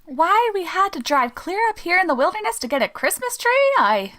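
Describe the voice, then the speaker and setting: An actress as a teenage girl in an old-time radio family comedy. Her high voice swings dramatically up and down as she complains, sulky and sarcastic. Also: sing-song, fairly quick, a bit bright, heavily acted. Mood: exasperated. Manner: complaining, theatrical.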